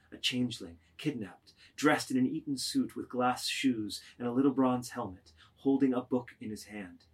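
A man narrating a literary work, reading stage directions aloud with measured, deliberate phrasing. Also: medium pitch, slightly rough.

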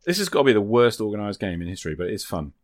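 A man's voice: on the low side, sing-song, rapid-fire, no room sound, studio quality. A man thinking aloud good-humouredly as he plays an improvised tabletop role-playing game in casual conversation.

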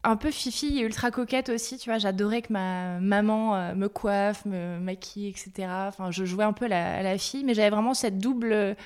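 Invitée femme, française, ton franc et décousu, nombreuses hésitations. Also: female voice, mid-range voice, a little fast, few pauses, smooth. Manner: candid, rambling, self-mocking. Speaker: guest, brand founder. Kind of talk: casual interview chat.

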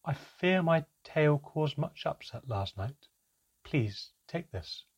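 A commanding female elf, formal and pressing, with a raised, husky voice, as a male narrator puts her on. She speaks in clipped, urgent orders in this storybook reading. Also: a little high, expressive, slow pace, highs cut off, voice pushed up, heavily acted.

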